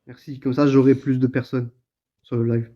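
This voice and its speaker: A man's voice: medium pitch, flat delivery, normal speed. A man hosting a livestream, chatting casually, on dull lo-fi audio. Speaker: livestream host. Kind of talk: casual chat.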